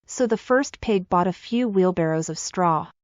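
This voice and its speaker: A woman's voice: lowish voice, moderate pace, dry recording, muffled recording. A woman reading a scripted children's fairy tale as the storyteller.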